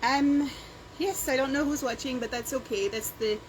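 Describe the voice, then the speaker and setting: A woman's voice: sits high, moderate pace. Woman with a Scottish-sounding accent, noisy recording. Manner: meandering, self-deprecating asides. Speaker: home vlogger. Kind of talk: casual rambling chat. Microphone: handheld camera or phone. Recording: clear background noise, handheld home video.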